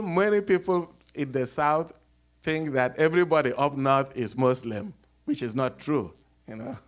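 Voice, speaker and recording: Higher-voiced man giving a panel talk. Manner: measured, many fillers and restarts. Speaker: panel speaker. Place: conference room.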